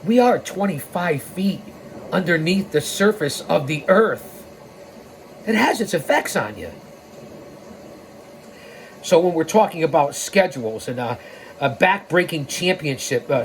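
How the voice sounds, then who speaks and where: A man's voice: high voice, sing-song, measured pace, a little hiss or hum, rough recording. An American man in his sixties hosting a podcast, reading aloud and breaking off into emphatic, rambling commentary addressed straight at people. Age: 60s. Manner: emphatic, rambling, with sidetracks and direct appeals. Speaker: podcast host.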